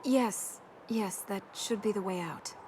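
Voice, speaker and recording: An actress playing an earnest, melancholy young princess in a video game, speaking in a measured, wistful way. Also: female voice, mid-range voice, darkish tone, slight background noise, lightly acted. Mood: guarded. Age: young adult.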